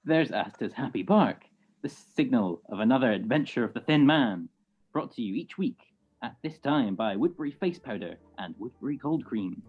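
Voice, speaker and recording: Through narrow, phone-like audio comes a radio announcer played by a man. He delivers scripted 1940s-style introductions and sponsor copy at a middle pitch. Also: lively intonation, moderate pace, breathy edge. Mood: presentational. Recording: muffled phone-like video-call audio.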